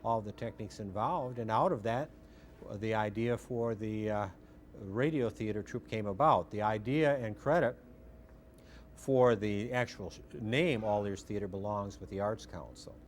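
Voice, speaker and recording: A middle-aged man with a lowish voice, speaking as a founder being interviewed. He explains and recalls in a conversational way. There is faint noise and rumble behind him. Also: normal speed. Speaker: radio theater founder, interviewee. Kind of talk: interview recollection.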